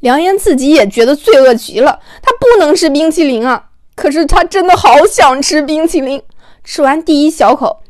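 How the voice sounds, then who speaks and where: A woman narrating an audiobook in an expressive, conversational storytelling style. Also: sits high, moderate pace, no echo, studio quality.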